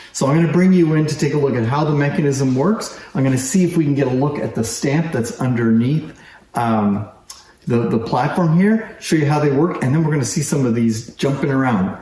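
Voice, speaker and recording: Mellow-voiced man presenting in an echoey room. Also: mid-range voice. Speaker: presenter demonstrating antique phonograph toys. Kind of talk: casual hands-on show-and-tell. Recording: roomy echo, faint background noise.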